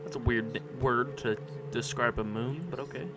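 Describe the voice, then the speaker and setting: A man's voice: medium pitch, fairly even intonation, normal speed, over music. A man live-streaming a game with casual, rambling commentary, reacting playfully and repeating phrases. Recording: clear background noise on home stream.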